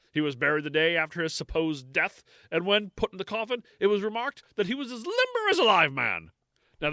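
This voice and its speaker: A brisk old-time radio newscaster, as a man puts him on, pitched well above his usual voice and rattling through the report at a quick clip. The delivery is bright and animated, with a dry, close sound. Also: no room sound, heavily acted. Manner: brisk, clipped, high announcer delivery.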